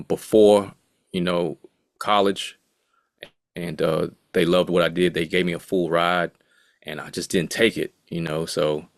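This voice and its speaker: Deep-voiced laid-back man. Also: creaky, dry recording, clean studio sound. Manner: laid-back, rambling, full of asides. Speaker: guest, music producer and engineer. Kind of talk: casual interview talk.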